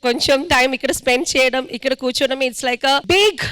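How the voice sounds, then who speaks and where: A woman's voice: high-pitched, fast talker, a bit bright, smooth. A woman with an Indian accent speaking casually as a show judge, mixing in English phrases. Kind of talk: casual stage remarks. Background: show music.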